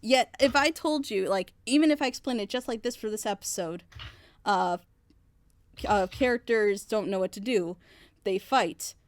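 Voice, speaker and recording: A woman's voice: medium pitch, normal speed, no room sound. A woman reviewer speaking in a casual, opinionated way, with emphatic, rambling explanations and rhetorical questions. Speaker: reviewer on a reaction show.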